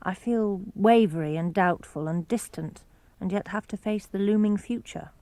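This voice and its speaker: A woman narrating and reading diary entries aloud for a radio broadcast, in a clear, composed manner. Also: mid-range voice, normal speed, mellow, no echo. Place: radio studio.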